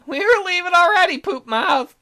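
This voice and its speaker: A woman's voice: extremely high pitch, lively intonation, moderate pace, sharp tone, pitched above the performer's own voice, exaggerated voice. A woman voicing a fussy, devoted magical attendant in a visual novel, prim and snippy but deferential to a lady. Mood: bickering.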